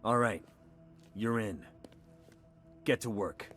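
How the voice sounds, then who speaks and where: An actor playing a tough, calm special forces leader, terse and commanding, in a video game. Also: male voice, fairly low pitch, takes it slowly, soft background music. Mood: resolute. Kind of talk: naturalistic video game cutscene acting.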